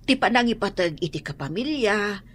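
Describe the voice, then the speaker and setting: A woman plays a spirited older Ilocano woman in a radio drama. Her pitch swings in an animated way around a middle register, with faint ambient noise behind her. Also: a little fast, natural voice. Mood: concerned, earnest.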